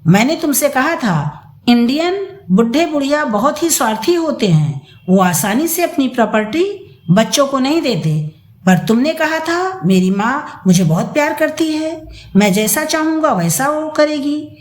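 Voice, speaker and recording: A woman's voice: sits high, big pitch swings, unhurried, voice pushed up. A woman voicing an irritated, money-hungry young wife, nagging and scornful, in a dramatised audio story. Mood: angry, irritated. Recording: roomy echo.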